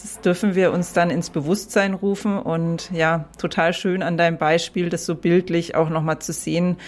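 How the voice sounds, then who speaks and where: A woman hosting a podcast interview, giving frequent affirming murmurs and phrasing her questions with searching fillers. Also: fairly low pitch. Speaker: podcast host.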